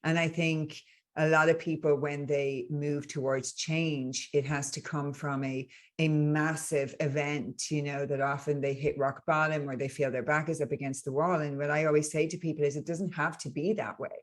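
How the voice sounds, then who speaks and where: A woman's voice: sits low, fairly even intonation, moderate pace. A woman in her forties with an Irish accent from Dublin, an earnest, upbeat coach talking as a podcast guest. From Ireland. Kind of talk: conversational interview.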